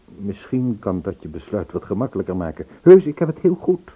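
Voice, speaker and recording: A man's voice: on the low side, big pitch swings, fairly quick, breathy edge. An actor playing an earnest, lovesick man searching for a woman in an old Dutch radio play. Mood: earnest, pleading. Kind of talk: Dutch radio play, acting and first-person narration. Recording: tinny phone-like old radio recording.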